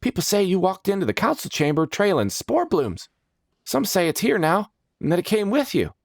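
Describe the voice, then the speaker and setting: A man's voice: high-pitched, wildly swooping pitch, normal speed, gravelly, dry recording, studio quality, voice pushed up, full character voice. A man voicing an awestruck, wide-eyed apprentice boy of about seventeen who blurts out his words in a storybook. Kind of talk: storybook character dialogue.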